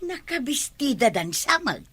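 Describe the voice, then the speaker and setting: A woman's voice: high voice, sing-song, unhurried, sharp tone, full character voice. A woman with an Ilocano Filipino accent playing a stubborn, complaining village neighbour in a comedy drama.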